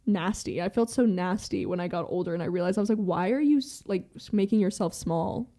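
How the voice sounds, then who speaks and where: A young American woman, a podcast guest, talking candidly and fast in a rambling way, full of "like" and swearing. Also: lowish voice, mellow. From American with Iranian family. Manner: fast rambling talk, heavy use of "like" and swearing. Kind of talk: candid casual conversation.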